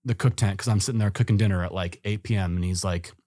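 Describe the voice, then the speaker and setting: A man's voice: deep voice, fairly even intonation, quick pace, warm tone, no room sound. A man telling a story as a podcast guest, easygoing and rambling, with lots of fillers and restarts. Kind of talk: casual storytelling in an interview.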